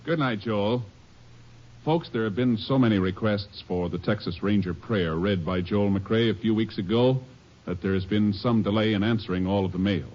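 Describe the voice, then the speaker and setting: A man's voice: on the low side, moderate pace. A man announcing an old radio show in a formal manner, reading notices and credits. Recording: lo-fi old radio broadcast with music.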